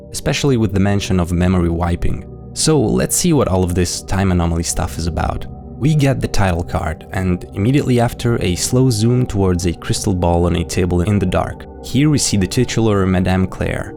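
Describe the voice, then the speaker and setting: A man hosting and narrating a scripted analysis, explaining things directly to viewers and reading out quotes. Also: deep voice. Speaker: narrator and video host.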